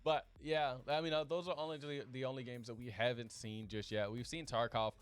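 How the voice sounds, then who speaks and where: A man's voice: mid-range voice, level tone, fairly quick, clear, resonant tone. A man chatting casually as an esports commentator, informal and easygoing, feeling his way through his phrases. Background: background music.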